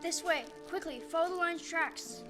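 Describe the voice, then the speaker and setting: A woman playing a kind, gentle tin woodman in a stage audio play, earnest and courteous in delivery. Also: extremely high pitch, moderate pace. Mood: urgent. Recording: live stage recording with music.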